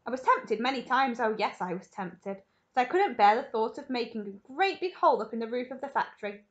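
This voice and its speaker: A woman's voice: fairly high pitch, expressive, fairly quick, highs cut off, lightly acted. A woman voicing an exuberant, eccentric man who owns a chocolate factory, gushing and repeating himself eagerly in a storybook reading. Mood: excited. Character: exuberant, eccentric male chocolate-factory owner, gleefully excitable.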